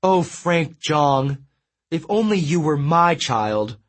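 A man's voice: a little high, expressive, slow pace, voice pushed up. A man voicing a vain, melodramatic male sun god who wails and pities himself. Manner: wailing, theatrical and self-pitying.

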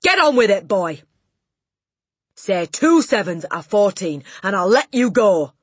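A woman voicing a huge, tyrannical headmistress who loathes children, bellowing her insults with drawn-out emphasis in an audiobook. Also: low voice, lively intonation, a bit bright, husky, no room sound, full character voice. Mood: furious, bellowing. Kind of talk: audiobook character voice.

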